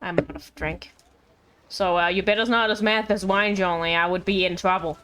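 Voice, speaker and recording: A woman's voice: medium pitch, steady tone, moderate pace. A woman doing casual live game stream commentary, playful and rambling with mock-indignant asides. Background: game music.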